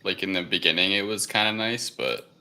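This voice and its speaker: A man's voice: on the low side, a little fast, gravelly, pushed and bright. A man on a podcast panel, casually chatting through his opinions on movies.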